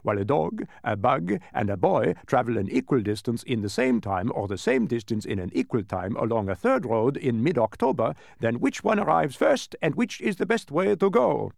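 A man voicing a fussy, pedantic, excitable dodecahedron creature in a storybook, with an emphatic, scolding manner. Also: medium pitch, moderate pace, creaky, hardly any pauses, no echo, studio quality, pitched above the performer's own voice. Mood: pedantic, cheerful. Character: fussy, exacting many-faced dodecahedron, male, excitable.

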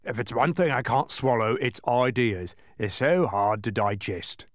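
A man's voice: medium pitch, a little slow, no echo, studio quality, close to an everyday voice. A man voicing a huge, gelatinous storybook demon who is cowardly and anxious, hedging fretfully and peevishly. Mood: queasy, uneasy.

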